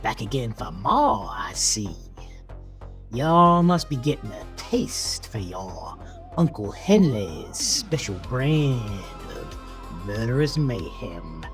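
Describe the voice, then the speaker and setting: A man's voice: fairly low pitch, big pitch swings, slow pace, soft background music. Man playing a drawling, ghoulish horror-host uncle. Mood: gleefully morbid. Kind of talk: 1980s horror anthology host intro.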